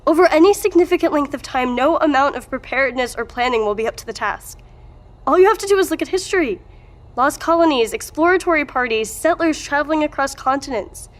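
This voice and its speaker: A high-pitched female voice from an actress in an audio drama. She plays a worried skeptic, earnest and questioning, quick to argue her point. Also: animated. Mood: insistent. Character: skeptical, worried woman pressing for answers.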